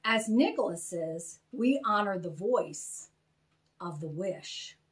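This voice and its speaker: A woman voicing a wise, solemn village master in a children's storybook, with a formal, teacherly, measured way of speaking. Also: mid-range voice, expressive, distant mic. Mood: solemn, reflective.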